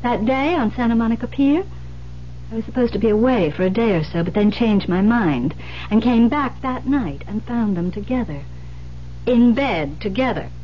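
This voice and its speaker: A woman's voice: on the high side, animated, moderate pace, close to an everyday voice. An actress playing a sadistic escaped madwoman killer in an old radio thriller, taunting and condescending with menace. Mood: gloating, mocking. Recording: dull, noisy old radio recording.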